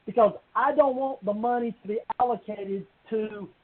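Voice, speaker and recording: A man's voice: high voice, normal speed, a little hiss or hum. A man speaking as a council member, making informal, rambling remarks in a budget meeting that circle back to the point. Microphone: conference call. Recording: thin, phone-like conference call.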